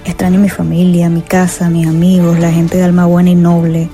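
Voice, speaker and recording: Low flat-voiced woman, maracaibo accent, emphatic and repetitive, music under her. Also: a little fast. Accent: Venezuelan, Maracaibo. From Venezuela. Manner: emphatic, repeating phrases. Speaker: interviewee. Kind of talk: prepared personal testimony. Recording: voice over music bed.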